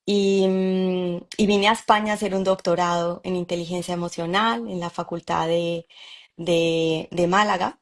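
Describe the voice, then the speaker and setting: A woman's voice: on the low side, fairly even intonation, normal speed. A Colombian woman in her forties, a psychologist guest, telling her story in an easy, laughing way. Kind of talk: conversational interview.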